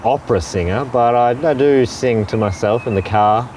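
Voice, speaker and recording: A man's voice: medium pitch, moderate pace, heavy background noise. A man, a comedian hosting a travel show, asking questions in a playful, enthusiastic way. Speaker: host, comedian. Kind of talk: interview.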